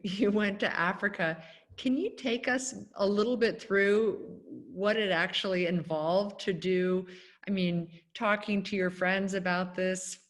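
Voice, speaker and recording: A woman moderating a lecture, asking the guest direct, friendly questions. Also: medium pitch. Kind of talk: interviewing a guest.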